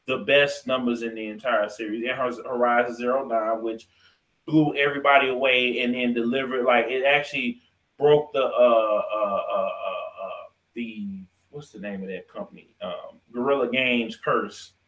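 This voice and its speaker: An American man co-hosting a casual podcast debate, talking in slang, often halting and repeating himself. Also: medium pitch, a bit bright, echoey room, rough recording. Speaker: podcast co-host.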